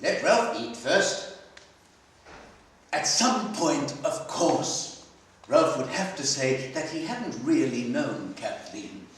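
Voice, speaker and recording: Echoey, expressive male story reader. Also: medium pitch, a little hiss or hum. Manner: measured, dramatic delivery with pauses. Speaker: story reader at a literary festival. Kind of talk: expressive live reading of literary fiction. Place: library hall at a live festival. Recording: echoey hall, distant microphone.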